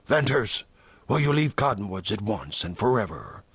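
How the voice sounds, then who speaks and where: A man's voice: a little high, big pitch swings, a little slow, husky, no room sound, full character voice. A man voicing a grim, menacing religious elder. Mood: grim, tense. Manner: tense, harsh, commanding. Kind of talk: audiobook character dialogue in a western.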